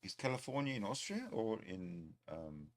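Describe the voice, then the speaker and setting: A man's voice: on the low side, very animated, normal speed. A man from South Africa with a South African accent, chatting casually. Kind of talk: casual chat.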